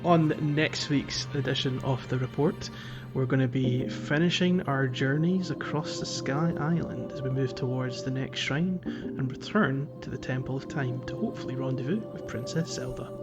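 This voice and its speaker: A man's voice: medium pitch, normal speed, dull audio, loud music behind the voice. A man hosting a podcast, chatting casually about a video game in a conversational, slightly hesitant way. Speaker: podcast host.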